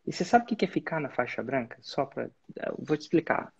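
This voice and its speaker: A man's voice: on the high side, expressive, fast talker, breathy edge, lo-fi sound. A man hosting a live coaching session, giving direct, instructive advice to students. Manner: direct, instructive, uses sports-belt metaphors. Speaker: business mentor and host.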